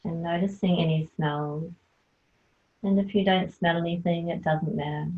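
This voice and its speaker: A woman leading a guided yoga nidra relaxation, speaking softly and soothingly as she gives gentle instructions. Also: lowish voice, fairly even intonation. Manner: slow, soft, soothing instruction. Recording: tinny phone-like sound.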